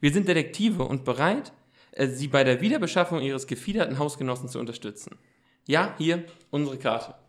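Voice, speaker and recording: A man's voice: on the high side, expressive, fairly quick, natural voice. A man playing a self-assured teenage boy detective in an amateur audio drama, speaking in a formal, wordy, explanatory way.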